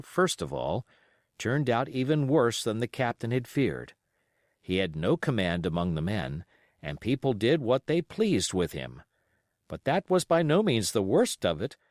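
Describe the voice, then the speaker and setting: A man reading a classic adventure novel aloud as an audiobook narrator, in an expressive storytelling manner. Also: mid-range voice, dry recording, studio quality. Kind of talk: scripted literary narration.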